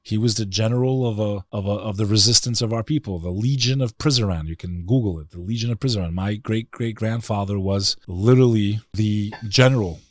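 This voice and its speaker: A man with a very deep voice and a New York accent, talking earnestly and bluntly in an interview with loose slang. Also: fairly quick, few pauses, dry recording. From United States. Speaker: guest. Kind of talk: casual interview talk.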